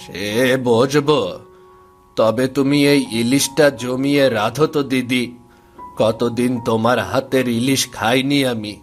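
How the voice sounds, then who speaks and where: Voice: mid-range voice, steady tone, takes it slowly, faint music behind, close to an everyday voice. A man voicing an affectionate elderly great-uncle in a cartoon story, fond and nostalgic in manner.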